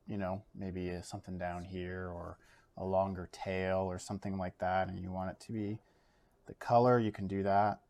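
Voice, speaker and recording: Relaxed Canadian man teaching art at home. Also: on the low side. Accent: Canadian English. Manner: relaxed, thinks aloud with fillers while drawing. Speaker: art educator. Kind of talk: casual art demonstration. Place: home studio, overhead camera on a table.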